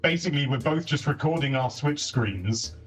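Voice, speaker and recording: A man's voice: mid-range voice, expressive, moderate pace. A man chatting casually over a voice call at home, picked up by a console's built-in microphone from across the room; his voice carries and he often corrects himself mid-sentence. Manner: chatty, self-correcting, says "don't get me wrong" often. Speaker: co-host testing a console feature. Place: home, moving between rooms. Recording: distant voice over game chat with music.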